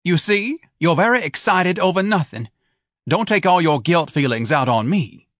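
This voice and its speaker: A man voicing a wealthy middle-aged wife who needles her husband with sarcastic, drawn-out nagging. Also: medium pitch, expressive, fairly bright tone, no room sound, clean studio sound, voice pushed up. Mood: needling.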